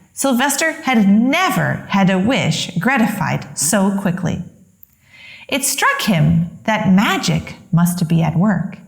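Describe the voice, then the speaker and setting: A woman reading a children's story aloud as expressive narration, with deliberate, dramatic phrasing. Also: mid-range voice, gravelly, echoey room. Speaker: children's story reader, host.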